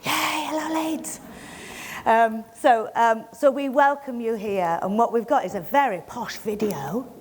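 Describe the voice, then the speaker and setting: A woman's voice: on the high side, measured pace. Frank Yorkshire woman in a reverberant hall. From Yorkshire, England. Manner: frank and plain-spoken, with wry self-deprecating humour. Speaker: event host giving a welcome speech. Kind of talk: personal, candid speech to a live audience. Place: event hall. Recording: long hall reverb from a venue PA.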